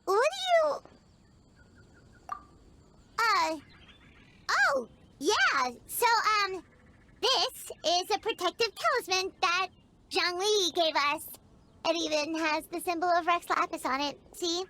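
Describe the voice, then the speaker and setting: A woman voicing a small, excitable childlike fairy companion in a video game, squeaky and given to exclamations. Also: slow pace, pauses often, a little hiss or hum, full character voice. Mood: bluffing. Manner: exclamatory, speaks of self in third person.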